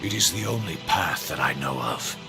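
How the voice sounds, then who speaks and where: An actor playing a proud, grave ancient angelic ruler in a video game, grandiose and theatrical, with a heavily gravelly voice. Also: male voice, a bass voice, lively intonation, moderate pace, heavily acted. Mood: grave, imperious. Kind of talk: video game cutscene acting. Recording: music behind the voice.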